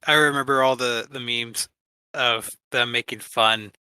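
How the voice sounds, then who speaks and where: A man co-hosting a podcast, chatting casually in a halting, easily amused way. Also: mid-range voice, fairly even intonation, sharp tone, smooth, dry recording. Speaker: podcast co-host. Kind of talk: casual chat.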